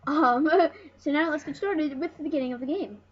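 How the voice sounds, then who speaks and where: A high-voiced speaker giving casual let's play commentary as host of a retro gaming series, rambling and often restarting phrases. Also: normal speed. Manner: rambling, repeats and corrects phrases. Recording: muffled, noisy home recording.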